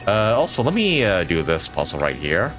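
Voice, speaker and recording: A man with a low voice streams a video game with clear music behind him. His delivery is casual and rambling, thinking aloud, with pitch that moves around in a lively way. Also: normal speed. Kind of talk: casual live gameplay commentary.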